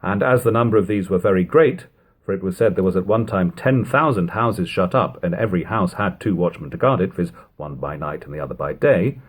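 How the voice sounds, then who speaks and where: A man with a somewhat low-pitched voice narrates a literary audiobook. His delivery is measured and formal, carrying long, winding sentences as a reader of classic prose. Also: husky.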